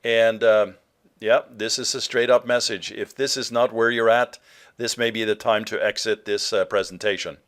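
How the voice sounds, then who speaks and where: A man from Norway presenting an online marketing webinar in a conversational, somewhat halting way with frequent fillers. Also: on the low side, fairly even intonation, fairly bright tone.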